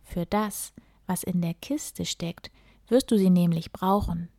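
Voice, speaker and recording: A woman's voice: on the low side, slow, warm tone, no echo, studio quality. A woman reading out the words of a kindly, affectionate grandfather in a children's storybook. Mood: fond, knowing.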